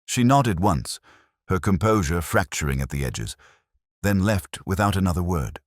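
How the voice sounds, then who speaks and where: A man narrating a scripted first-person detective story in a measured, solemn, literary manner. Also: low-pitched, expressive, no room sound, clean studio sound.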